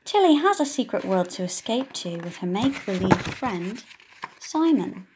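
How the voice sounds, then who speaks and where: A woman's voice: mid-range voice, very animated, normal speed, noisy background. A woman reading a children's story aloud expressively, with engaging, questioning asides like a teacher talking to young listeners. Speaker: audiobook reader for children.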